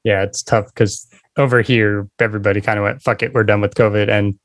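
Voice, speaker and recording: A man's voice: on the low side, flat delivery, a little fast, dry recording. A man, speaking casually as the host of an interview podcast. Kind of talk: casual interview chat and show outro.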